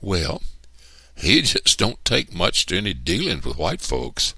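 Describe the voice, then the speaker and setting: A cautious Southern hired man, voiced by a man speaks in a raised, lively pitch. He is hesitant and deferential, never sure he should speak up in this audiobook tale. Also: unhurried, breathy edge, dry recording, heavily acted. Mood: nervous, cautioning.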